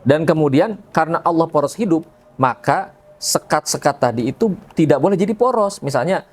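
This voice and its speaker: A man's voice: on the high side, lively intonation, a little fast. A man speaking as a religious podcast guest, explaining things conversationally and often checking in with tag questions. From Indonesia.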